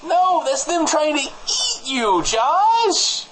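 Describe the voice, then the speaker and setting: Animated deadpan comedy host, a man. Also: extremely high pitch, drawn-out words, a crowd in the background, low-quality recording. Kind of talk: improvised mock news radio banter.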